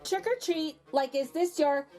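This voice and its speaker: Hesitant, polite young girl for a picture book, high-pitched and quirky, acted by a woman. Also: music in the background, natural voice. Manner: hesitant, halting, casual filler words.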